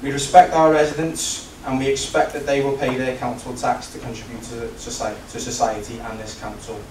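A man's voice: mid-range voice, little pitch movement, fairly quick. A man serving as councillor, making a formal prepared debate speech in a large council chamber. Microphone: recorded from the back of the room. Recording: distant, echoey, noisy council chamber recording.

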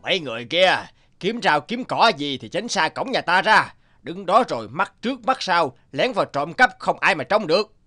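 A bullying, middle-aged rich man from a fairy-tale cartoon. He blusters with scorn in a lively, swooping, rather high voice. Music plays clearly underneath. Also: moderate pace, heavily acted. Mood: contemptuous. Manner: scornful, blustering, barking orders.

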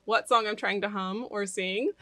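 A woman's voice: mid-range voice, steady tone, normal speed, bright, forceful tone, no room sound. Friendly young woman co-host, dry sound. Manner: friendly, self-deprecating, laughs often. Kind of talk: casual chat. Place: studio lounge set, indoors. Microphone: desk mic on stand.

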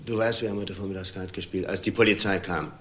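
Crime drama widower, middle-aged, low register, controlled and evasive, halting delivery. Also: male voice, steady tone, a bit bright. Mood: subdued, hesitant. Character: composed, evasive widowed husband with a mistress. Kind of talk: German television crime drama acting.

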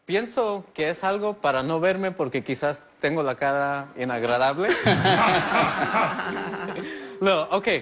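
A man, a TV guest who explains things calmly and jokes back in a casual studio interview. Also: fairly high pitch, slow pace, long pauses. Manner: easygoing, jokes back, describes objects step by step. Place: large TV studio set. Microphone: lapel. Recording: faint studio noise.

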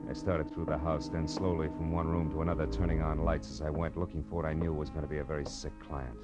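Deep voiced american noir detective, clipped n world-weary, quick talker, old radio show. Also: male voice, level tone, over loud music. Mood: wry, grim. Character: hardboiled, wry male private detective. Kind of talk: 1940s-50s radio noir acting and narration.

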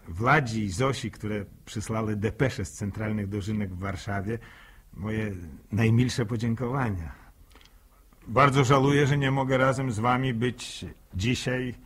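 Bashful, husky young villager. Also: male voice, mid-range voice, fairly bright tone. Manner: bashful, hesitant, repeating words. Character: shy, grateful young village man. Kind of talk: 1960s radio acting, informal thank-you speech.

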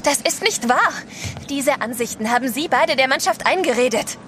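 Casting: outspoken woman at sea, highish voice, direct, radio play. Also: lively intonation, moderate pace, fairly bright tone, soft background music. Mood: indignant. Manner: direct, questioning. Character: earnest, outspoken woman on an expedition ship. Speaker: actor in an audio drama. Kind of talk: German radio play acting.

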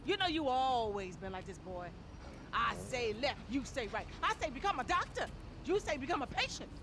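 Scornful, animated middle-aged aunt. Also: female voice, high voice, moderate pace, noisy background. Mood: scornful scolding. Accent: American. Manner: sassy, scolding. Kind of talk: video game cutscene acting.

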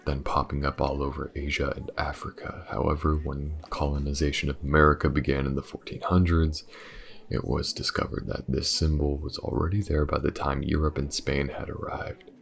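A man playing a weary, driven detective in an audio roleplay, with a hesitant, muttering delivery and a gravelly voice. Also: level tone, moderate pace. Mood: measured, reading aloud. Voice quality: very deep, gravelly. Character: weary, driven male detective investigating a dark mystery. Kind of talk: intimate audio roleplay acting.

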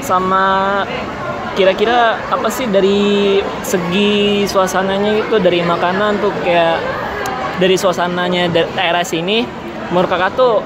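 A man's voice: high voice, steady tone, normal speed. A young man with an Indonesian accent interviewing diners as a student reporter, asking long, searching questions, recorded on a handheld phone in a mall food court. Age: young adult. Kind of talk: street interviews. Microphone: phone held out. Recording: loud crowd chatter and noise.

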